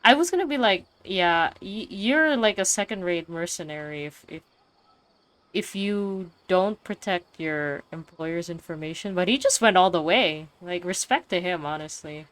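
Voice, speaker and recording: A woman's voice: lowish voice, expressive, normal speed, fairly bright tone. A woman giving casual playthrough commentary and reading game text aloud, slangy and joking. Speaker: game streamer.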